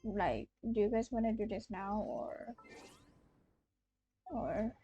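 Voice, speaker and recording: A woman's voice: medium pitch, expressive, slow. A young woman from Malaysia chatting casually on a game livestream, hesitant and often repeating herself. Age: young adult. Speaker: game streamer. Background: soft game music.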